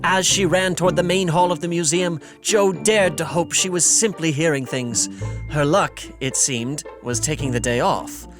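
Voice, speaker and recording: A man's voice: a little high, moderate pace. A man narrating a scripted fantasy audio drama in a wry, dramatic storytelling manner. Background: music.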